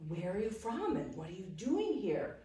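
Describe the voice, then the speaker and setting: A woman storyteller voicing a kind, hospitable old man living alone on a mountain, who warmly repeats himself. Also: mid-range voice, huge pitch swings, moderate pace, very echoey, distant mic, voice pushed up. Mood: welcoming.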